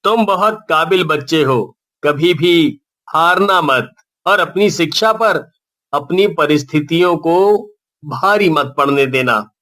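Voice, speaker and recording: A woman's voice: deep voice, takes it slowly, a bit bright. A woman voicing a kindly, wise male schoolteacher who gives fatherly advice in a cartoon story. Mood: kind, reassuring. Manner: fatherly, advising.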